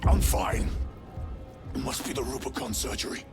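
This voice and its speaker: An actor playing a stoic, battle-hardened lieutenant in a video game, with a clipped, restrained manner. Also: male voice, medium pitch, steady tone, moderate pace, gravelly, over loud music, close to an everyday voice. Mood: curt, stoic. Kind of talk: video game cutscene acting.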